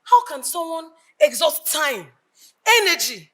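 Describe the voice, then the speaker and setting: An earnest, indignant Nigerian woman in a stage drama. Her voice is high and sharp, projected and declamatory, pressing hard on her points. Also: expressive, measured pace. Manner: emphatic, declamatory. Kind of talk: projected stage play acting.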